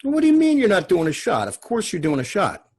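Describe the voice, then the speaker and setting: A man playing a pushy guy in a bar, confrontational and goading. The voice is husky and pitched up, with the belligerence of a table-read drama. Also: wildly swooping pitch, brisk, darkish tone, heavily acted. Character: pushy, belligerent male bar-goer at pool hall.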